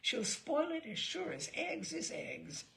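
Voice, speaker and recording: A woman's voice: sits high, sing-song, slow pace, vocal fry, a bit bright, voice pushed up, heavily acted. A woman voicing a young male ship's mate, earnest and stubbornly protesting, in a storybook reading. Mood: grumbling.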